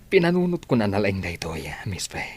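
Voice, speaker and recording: An actor playing a soft-spoken, earnest father in a radio drama. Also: lowish voice, big pitch swings, a little fast, breathy edge.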